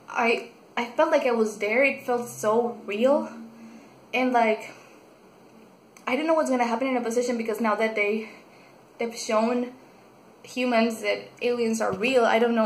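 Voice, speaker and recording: Gushing young woman. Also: on the high side. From Mexico. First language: Spanish. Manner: gushing, excitable, full of fillers and restarts. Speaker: book vlogger. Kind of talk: casual book review. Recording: echoey room.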